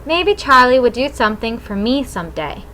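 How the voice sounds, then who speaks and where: Female audiobook narrator, first-person fiction, bright and forward tone, noticeable noise behind the voice. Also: a little high, big pitch swings, moderate pace.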